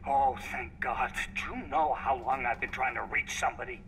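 A man's voice: medium pitch, fairly even intonation, moderate pace, a bit bright, soft background music, close to an everyday voice. A man playing a desperate, arrogant, foul-mouthed research scientist in a video game, agitated and demanding. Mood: exasperated, weary. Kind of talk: dramatic video game acting.

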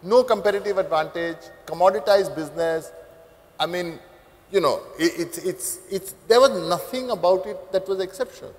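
A man's voice: sits high, moderate pace. An older man with an Indian English accent, speaking animatedly as a panelist on a conference stage through a lapel mic. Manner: animated, gestures broadly while talking. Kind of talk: panel discussion. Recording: reverberant hall, lapel mic.

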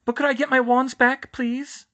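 A woman's voice: high voice, flat delivery, normal speed, fairly bright tone, highs cut off, voice pushed up. A woman voicing an anxious, earnest and insistent teenage girl witch in a storybook reading. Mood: nervous, pressing. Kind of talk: audiobook-style character dialogue.

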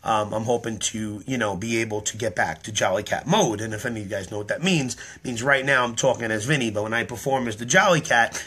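Tired earnest man, bright tense voice. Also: on the low side, fast, hardly any pauses, husky. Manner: earnest, rambling explanation with many ums. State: tired, slightly hoarse. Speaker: online performer explaining his act. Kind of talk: casual talk to camera. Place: small room indoors. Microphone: webcam or phone at close range.

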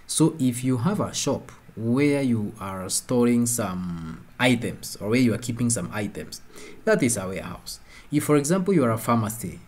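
A man giving an informal tutorial, talking conversationally and often pausing to rephrase his points. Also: medium pitch, a little mellow. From Kenya. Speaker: presenter of a software tutorial. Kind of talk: informal explanatory screen-share lesson.

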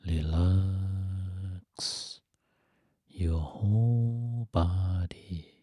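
A man leading a guided meditation in a soft, breathy, gentle voice, speaking in short phrases with long pauses between them. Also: low-pitched, fairly even intonation, no echo. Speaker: Buddhist monk teaching meditation.

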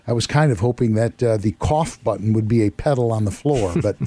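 A male radio host with a hoarse, worn voice, talking in a chatty, rambling, self-deprecating way in a studio. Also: lowish voice, brisk, dark tone, slight background noise. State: hoarse, losing his voice. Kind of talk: casual radio talk. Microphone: studio microphone.

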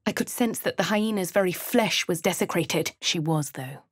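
A woman's voice: lowish voice, moderate pace, no echo. An actress voicing a posh female adventurer in a fantasy game, narrating and remarking in a refined, dry manner. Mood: dry, composed. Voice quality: crisp upper-class English diction. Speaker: player character. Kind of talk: game voice lines.

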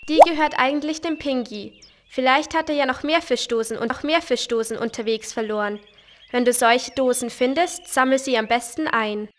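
A woman's voice: sits high, normal speed, close to an everyday voice. A woman voicing Lolli, a cheerful and friendly young girl in a children's game, speaking eagerly and sweetly. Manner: bright, eager, sweetly pleading.

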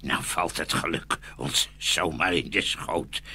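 A man playing a gruff, greedy robber villain in a dramatised fairy tale, with a rough, gravelly voice. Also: rumbling low, slow pace, pushed and bright, heavily acted. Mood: gloating, menacing.

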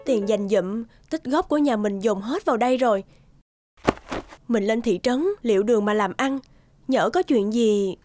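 Actress as peasant wife, cartoon folk tale, high voice, gentle worry, music underneath. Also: female voice, normal speed, close to an everyday voice. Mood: worried. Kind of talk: animated folk-tale dubbing. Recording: music behind the voice.

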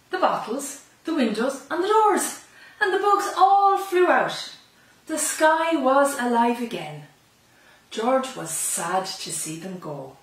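A middle-aged Irish woman reading a picture book aloud to young children, expressively and with chatty asides, in a library room. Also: fairly high pitch. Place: small library room lined with bookshelves. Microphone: camera a few metres away. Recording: echoey room, slightly distant mic.

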